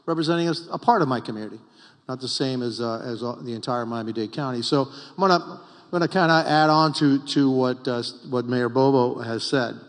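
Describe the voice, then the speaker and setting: A man's voice: medium pitch, a little fast, big-room sound. A middle-aged Cuban-born man, a congressman and former mayor, giving emphatic, repetitive panel remarks at a political retreat. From Cuba.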